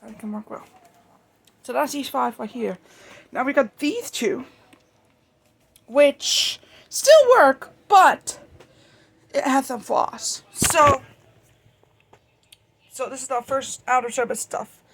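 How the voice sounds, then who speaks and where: Hobbyist vlogger with a high-pitched, gravelly-edged voice; informal, meandering, stop-start delivery, correcting along the way. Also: sing-song, fairly bright tone. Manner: rambling, halting, self-correcting. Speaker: hobbyist video creator. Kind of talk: casual unscripted show-and-tell of weather radios. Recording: noisy amateur home recording.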